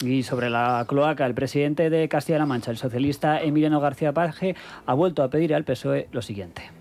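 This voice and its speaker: A man's voice: a little high, a little fast, darkish tone. A man from Spain reading news items and introducing clips on a radio news show.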